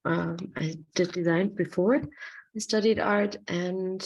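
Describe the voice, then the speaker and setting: Slow, gravelly-voiced woman. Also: fairly low pitch, steady tone, darkish tone, dull audio, a little hiss or hum. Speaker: visual artist. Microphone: video call.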